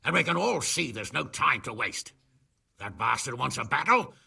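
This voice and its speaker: An actor playing a brash, hot-tempered middle-aged medieval lord in a game, blunt and blustering, with a gravelly, raspy voice. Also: male voice, mid-range voice, big pitch swings, moderate pace, pushed and bright, distant mic, heavily acted. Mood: gruff, resolute. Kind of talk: video game cutscene acting.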